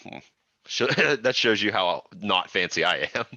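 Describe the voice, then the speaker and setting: A man's voice: lowish voice, animated, a little slow, pushed and bright. A man guest on a podcast, chatting casually, laughing often and joking at his own expense. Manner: laughs often, self-deprecating. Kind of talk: casual chat. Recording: dull, dry lo-fi audio.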